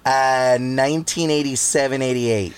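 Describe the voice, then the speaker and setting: A man's voice: medium pitch, steady tone, measured pace, over a noisy crowd. A man in his forties from Southern California, chatting casually as a podcast guest and rambling through his stories. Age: 40s. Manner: laid-back, rambling storyteller with fillers. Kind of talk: casual chat.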